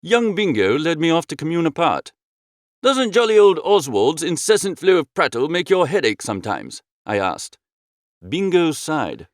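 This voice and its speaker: A man narrating a comic first-person audiobook in a breezy, theatrical, chatty gentlemanly style. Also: a little high, sing-song, normal speed, dry recording, clean studio sound.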